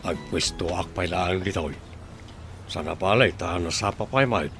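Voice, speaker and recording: A man's voice: sits low, normal speed. A man acting a friendly village elder-brother figure in an Ilocano radio drama, with an Ilocano Filipino accent. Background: loud music.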